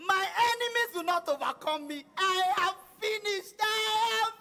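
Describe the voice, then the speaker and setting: An actress with a Nigerian accent playing a distraught, despairing village mother on stage, crying and lamenting loudly. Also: extremely high pitch, lively intonation, normal speed, faint music behind, heavily acted. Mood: wailing despair. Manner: wailing, lamenting with repeated cries. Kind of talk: loud melodramatic stage-play acting.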